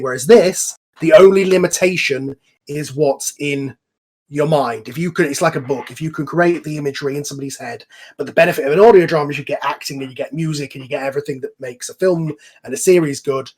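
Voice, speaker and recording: A man's voice: on the high side, fast talker, darkish tone. A man hosting a casual online group chat, explaining things at length in an enthusiastic, informal, rambling way. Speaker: host and series creator. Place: online voice call.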